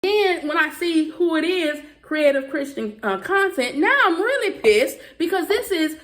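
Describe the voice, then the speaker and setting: A Southern woman speaking to her viewers in a heated casual commentary, talking fast and emphatically with frequent "okay"s and restarts. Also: very high-pitched, animated, a bit bright. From Southern United States. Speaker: YouTuber.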